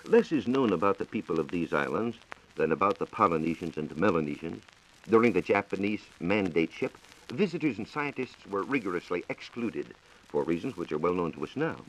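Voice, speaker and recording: An American man giving a scripted 1940s radio science talk as a reporter, in a measured, formal lecturing manner. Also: low-pitched, sing-song, slight background noise, rough recording. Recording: 1940s radio broadcast recording.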